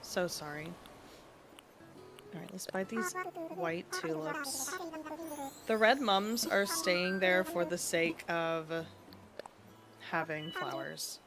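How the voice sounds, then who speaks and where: A woman's voice: lowish voice, animated, slow, long pauses. Sniffly woman chatting over music. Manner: chatty, thinks aloud while playing. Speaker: streamer. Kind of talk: casual gaming chat.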